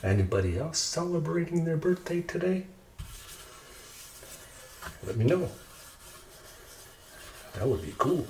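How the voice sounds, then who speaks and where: A man's voice: on the high side, sing-song, measured pace, pauses often, slight background noise. A man casually narrating ASMR cooking, chatting playfully to himself as he works. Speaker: host of an ASMR cooking video. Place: indoors in a kitchen. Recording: roomy echo, loud kitchen clatter and clicks. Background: clicks, dishes, cutlery and pans.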